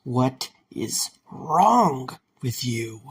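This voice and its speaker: A man as husky, stubborn young guy. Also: mid-range voice, expressive, measured pace, no echo. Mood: alarmed, struggling. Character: stubborn, wary but forgiving young man. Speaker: voice actor in a fan audio drama. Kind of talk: dramatic fan audio drama acting.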